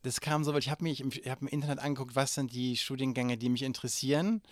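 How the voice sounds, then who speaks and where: A man's voice: mid-range voice, steady tone, quick pace, no echo. A man in his forties from Germany, answering interview questions hesitantly with many fillers and restarts. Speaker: interview guest.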